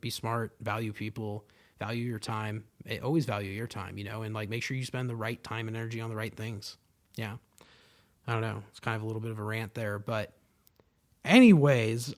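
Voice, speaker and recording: A young man hosts his own podcast alone, riffing at a quick clip in a mid-pitched voice. His talk is loose and rambling, packed with fillers and casual swearing. He speaks close to a podcast microphone. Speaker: podcast host. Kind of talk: casual solo podcast monologue.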